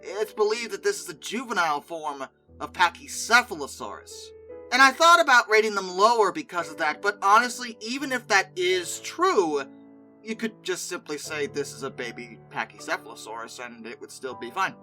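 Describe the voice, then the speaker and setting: An expressive video host with a bright, forceful voice and very lively intonation. The delivery is informal and chatty commentary, with opinionated, exasperated asides and mock disbelief. Music plays faintly behind the voice. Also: mid-range voice, moderate pace.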